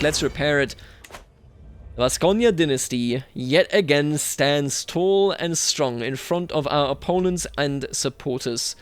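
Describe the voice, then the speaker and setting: A man's voice: fairly high pitch, a little hiss or hum. A man giving casual commentary on a game playthrough, thinking aloud and speaking in character to the people in it. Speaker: host of a gaming series.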